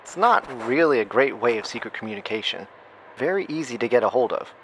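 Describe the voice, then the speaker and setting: A man's voice: mid-range voice, animated, a little fast, a bit bright, rough recording. Man acting a rambling, awkward hacker, faint noise. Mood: flustered, playful. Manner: rambling, flippant, self-interrupting. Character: chatty, awkward hacker friend leaving a secret recorded message. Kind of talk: horror audio drama acting.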